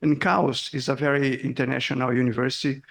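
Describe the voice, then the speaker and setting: Gravelly, halting Brazilian-born man. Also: mid-range voice, little pitch movement. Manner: hesitant, many fillers, chuckles at himself. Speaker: guest speaker. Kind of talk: academic presentation. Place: seminar hall.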